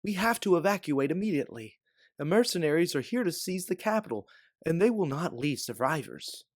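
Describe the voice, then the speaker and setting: A man's voice: a little high, lively intonation, brisk, dry recording, clean studio sound, voice pushed up. A man voicing a resolute, protective father and resistance fighter, urgent and commanding.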